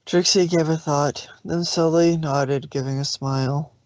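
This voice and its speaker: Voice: medium pitch, fairly even intonation, measured pace, a little mellow, no room sound, dull audio. A narrator reading scripted fan fiction in short descriptive passages.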